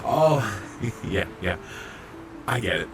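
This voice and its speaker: Guy playing a shy awkward robot medic, slow stammery apologetic talk, audio drama with loud music under it. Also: mid-range voice, very animated, far from the mic. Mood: flustered, awkward. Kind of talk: fan audio drama acting.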